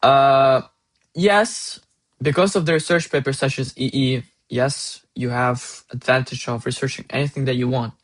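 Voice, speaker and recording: A young man from Russia with a Russian accent, giving earnest, halting advice as a student and restarting phrases mid-sentence. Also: medium pitch, fairly even intonation. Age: young adult. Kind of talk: casual advice monologue.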